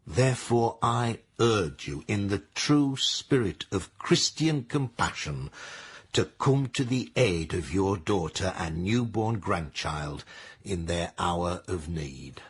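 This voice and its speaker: An actor playing a grave Victorian workhouse master, reading a formal letter solemnly in a radio drama. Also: male voice, low-pitched, lively intonation, slow pace, breathy edge, exaggerated voice. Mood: solemn, imploring.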